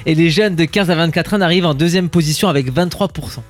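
A man's voice: fairly high pitch, fast talker, soft background music. A man co-hosting a morning radio show, playful and laughing a lot as he reads out facts. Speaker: radio co-host. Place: radio studio.

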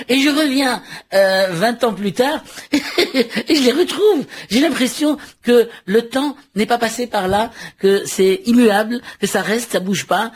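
Husky, halting male novelist. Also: high voice. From Morocco. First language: Arabic and French. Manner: halting, repeats words, laughs often. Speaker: novelist guest. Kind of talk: radio interview.

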